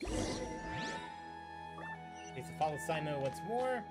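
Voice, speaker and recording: A woman's voice: low voice, big pitch swings, unhurried. A woman doing casual gameplay commentary as a streamer, reacting playfully to the game. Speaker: gaming streamer. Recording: game music behind voice. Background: game music.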